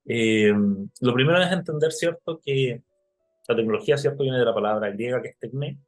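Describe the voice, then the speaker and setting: Young Chilean man, mid-pitched, hesitant presenter on a call, full of "eh" fillers; faint music underneath. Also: fairly quick. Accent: Chilean Spanish. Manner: hesitant, many fillers and restarts. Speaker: artist giving an online talk. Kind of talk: live online presentation.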